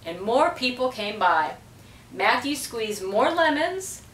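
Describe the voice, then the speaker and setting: A middle-aged woman reads a storybook aloud slowly, with a lively, fairly high voice. She sounds like a measured storyteller in a roomy space, recorded from across the room. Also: slight background noise. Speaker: school principal reading aloud. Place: small indoor room with block walls. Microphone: distant camera microphone. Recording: roomy, distant camera microphone.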